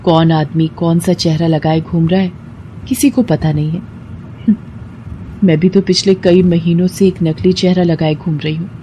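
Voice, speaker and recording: A woman acts out a troubled young college woman in a dramatized story. She is earnest and sharp-tongued, with emotive delivery and clear noise behind her. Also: lowish voice, steady tone, moderate pace, muffled recording, lightly acted. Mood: bitter, brooding.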